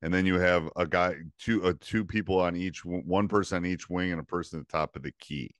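A man's voice: low-pitched, brisk. A middle-aged man hosting a basketball coaching podcast, asking questions in a casual, enthusiastic way with lots of affirming interjections. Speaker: podcast host. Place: home studio with bookshelves. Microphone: studio microphone with headphones.

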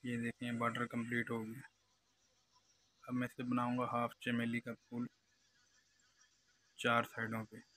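Monotone Pakistani craftsman, bright forceful voice. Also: medium pitch, measured pace, pauses often. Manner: repetitive, step-by-step narration. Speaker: glass engraver, channel host. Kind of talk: live craft demonstration commentary. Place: glass cutting workshop. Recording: noisy workshop background hum.

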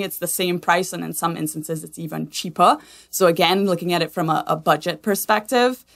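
A woman from Trinidad and Tobago speaks as a consultant being interviewed. Her voice sits a little low, and she explains things in a conversational way. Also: vocal fry. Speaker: guest, sustainability consultant.

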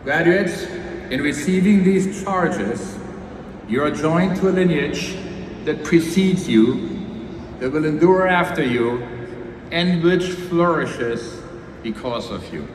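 Slow, formal man on a far echoey PA. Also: a little high, animated. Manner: slow, measured, ceremonial. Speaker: university chancellor. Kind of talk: formal commencement address. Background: ambient crowd noise.

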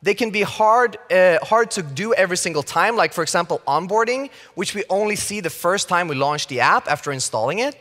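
A Swedish man in his thirties gives a conference talk with a high, bouncy voice that climbs and dips a lot. He plays out other people's opinions with some fun. His voice carries a long reverb from the big hall. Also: brisk, few pauses. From Sweden. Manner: lively and playful, acts out quoted opinions. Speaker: conference speaker. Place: large conference stage.

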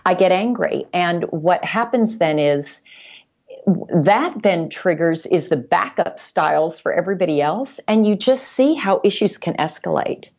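A woman's voice: lowish voice, expressive, a little slow, darkish tone. A woman speaking as a podcast guest in casual conversation, explaining things reflectively step by step. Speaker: podcast guest, sales executive.

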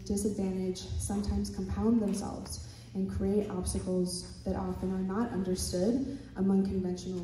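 Woman running a panel, stutters a bit, soft and inviting, super roomy hall sound. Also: mid-range voice, slight background noise. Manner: stutters at times, gentle and inviting. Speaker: panel moderator. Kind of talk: panel moderation. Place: hotel conference room with audience. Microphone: handheld mic, recorded from far in the room. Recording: echoey conference hall from far off.

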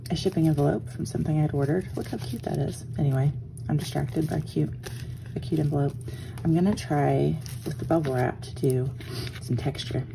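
A woman with a hoarse morning voice, chatting casually as she walks through a craft tutorial at her desk, often trailing off into soft murmurs. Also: sits low, noisy background. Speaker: art journaling instructor. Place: home craft desk, indoors.

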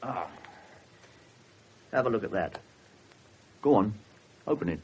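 An English actor playing a seasoned, loyal police sergeant with a dry, measured manner in a radio drama. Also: male voice, fairly low pitch, expressive, darkish tone, husky, highs cut off, full character voice. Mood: dry, reproving. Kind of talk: 1970s radio drama acting.

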